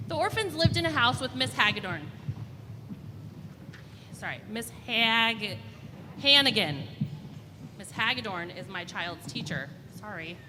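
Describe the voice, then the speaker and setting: A woman's voice: fairly high pitch, animated, unhurried, pauses often. A woman leading a children's story hour, reading aloud to an audience in short, simple storytelling phrases. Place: large indoor room with children on the floor. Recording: reverberant hall, clear background noise.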